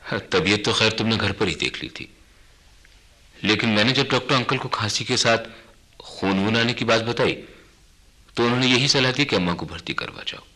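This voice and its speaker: A man playing a worried, dutiful clerk in a radio drama, earnest and respectful, with a husky voice. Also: fairly low pitch, moderate pace, long pauses, pushed and bright, poor audio. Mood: explaining. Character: worried, dutiful clerk son of an ailing mother. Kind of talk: Hindi radio drama acting.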